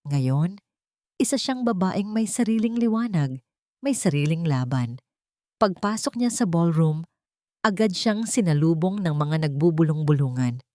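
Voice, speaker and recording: A woman's voice: low-pitched, darkish tone, no room sound, studio quality. A woman narrating a scripted dramatic story as an audio drama storyteller.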